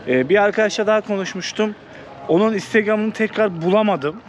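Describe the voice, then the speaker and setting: A man's voice: sits high, a little fast. A man hosting a casual, unscripted travel vlog, thinking aloud in an informal, conversational way. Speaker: travel vlogger and host. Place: outdoors, walking through an old walled town. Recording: outdoor city ambience, background noise.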